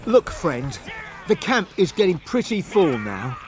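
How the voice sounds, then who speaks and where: An actor voicing a tired, blunt guard at a refugee camp in a video game. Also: male voice, high voice, big pitch swings, a little slow, over loud music. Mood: gruff. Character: weary male refugee-camp guard, gruff. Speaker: game character.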